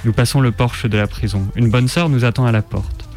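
A man's voice: mid-range voice, brisk, a little mellow. A man reading a first-person story as dramatic scripted narration. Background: music.

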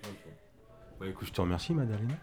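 A man hosting an interview who asks brisk, short questions and teases his guests. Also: fairly low pitch, animated, crowd noise. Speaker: host, interviewer. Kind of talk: radio interview.

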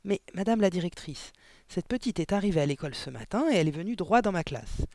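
Man playing a gentle, earnest young schoolmistress, raised pitch. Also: expressive, quick pace. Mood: polite, earnest. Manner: polite, soft, pleading.

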